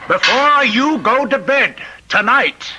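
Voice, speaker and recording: An actor playing a condemned killer who claims a murderous spirit possesses him, insistent and theatrical, in an old-time radio mystery. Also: male voice, very high-pitched, expressive, takes it slowly, loud music behind the voice, exaggerated voice, rough recording. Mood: feverish menace. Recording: vintage radio drama recording.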